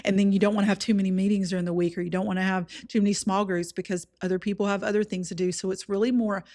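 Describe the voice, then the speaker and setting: A woman's voice: mid-range voice, level tone, rapid-fire, a little mellow. A woman hosting a podcast, talking in a reflective, conversational way with easy laughs. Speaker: podcast host.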